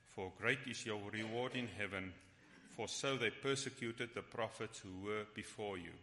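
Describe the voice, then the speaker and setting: A man whose first language is Afrikaans leads a church Bible study and prayer, speaking haltingly with frequent restarts. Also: medium pitch, pushed and bright. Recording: long hall reverb.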